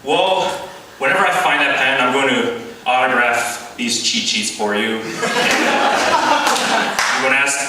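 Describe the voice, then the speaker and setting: A young man in his twenties doing deadpan stand-up comedy at a student open mic. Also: mid-range voice, takes it slowly. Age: 20s. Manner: deadpan running gags. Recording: echoey room from far off with loud crowd noise.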